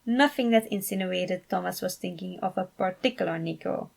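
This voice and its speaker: A woman's voice: lowish voice, normal speed, vocal fry, husky. A woman reading scripted fan fiction aloud as its narrator.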